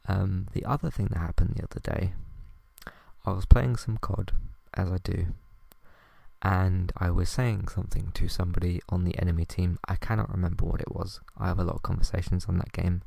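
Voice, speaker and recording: A young man hosting a podcast, talking softly and hesitantly with many ums as he tries out ASMR for the first time. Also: deep voice, creaky, no room sound. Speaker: podcast host. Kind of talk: first attempt at ASMR, quiet podcast chat.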